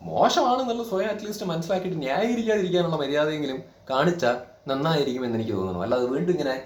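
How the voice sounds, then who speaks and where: A man's voice: a little high, lively intonation, racing. A man giving opinionated reaction commentary in an indignant, scolding, emphatic manner full of rhetorical questions. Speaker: reaction video creator. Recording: echoey room.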